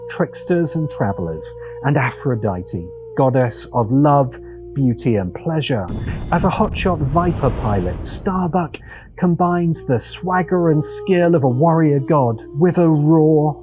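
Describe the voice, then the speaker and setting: A man narrating a scripted video essay as host, with deliberate, dramatic phrasing and pauses. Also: medium pitch. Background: background music.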